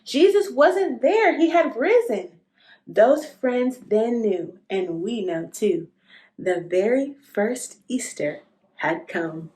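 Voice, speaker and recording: A woman narrating a children's storybook expressively, as a read-aloud host speaking to young listeners in an unhurried storytelling way. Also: a little high, expressive, darkish tone.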